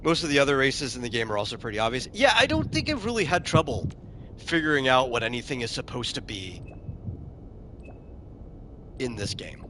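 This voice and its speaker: A man's voice: medium pitch, sing-song, fairly quick, pauses often, pushed and bright, a little hiss or hum. A man streaming a game, chatting casually and answering his chat, often repeating a word as he starts a sentence. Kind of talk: casual commentary while playing a game.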